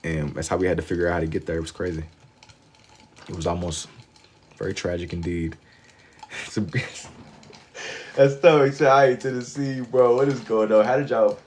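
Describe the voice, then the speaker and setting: A man's voice: fairly low pitch, flat delivery, moderate pace, pauses often, darkish tone, slight background noise. A man with an American accent hosting a livestream, chatting casually and slangily with viewers, amused and often repeating phrases for emphasis. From Louisville, Kentucky, United States. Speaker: livestream host. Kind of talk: casual chat with live viewers while drawing.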